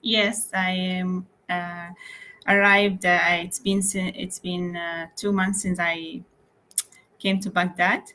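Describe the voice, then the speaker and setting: A woman's voice: fairly low pitch, little pitch movement, slow, sharp tone. A young woman raised in Malaysia, talking about her work as an interior architect in a casual interview over a video call, with many fillers and restarts. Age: young adult.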